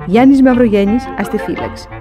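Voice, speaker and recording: Formal woman announcer over music. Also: a little high. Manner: measured, formal. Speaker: radio announcer. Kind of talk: scripted cast credits announcement. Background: instrumental music with brass.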